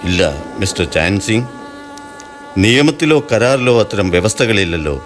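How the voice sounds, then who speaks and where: A man playing a government official in a radio drama, formal and measured, dropping English apologies. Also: lowish voice, expressive, a bit bright, soft background music. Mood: apologetic. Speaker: official from the high commission.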